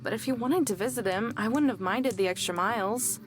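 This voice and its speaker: A woman's voice: a little high, a little fast, over music, lightly acted. An actress playing a dry, guarded, teasing young woman in a visual novel, with a casual sardonic delivery.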